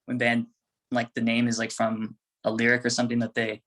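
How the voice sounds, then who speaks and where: A man's voice: medium pitch, completely flat delivery, fairly quick, a bit bright. A man speaking casually and conversationally as a band member being interviewed. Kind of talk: casual chat.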